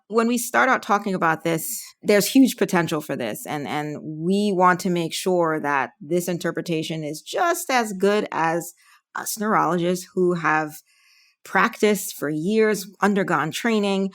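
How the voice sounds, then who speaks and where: A woman's voice: fairly low pitch, a little slow, fairly bright tone. A woman hosting a medical podcast interview, asking prepared questions as a neurologist. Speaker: podcast host, physician.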